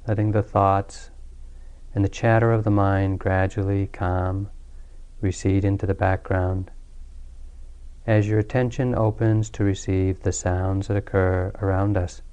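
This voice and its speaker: A man leading a guided meditation as a teacher, speaking calmly and soothingly with long pauses. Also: low voice, clean tone. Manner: calm, unhurried, soothing delivery with long pauses.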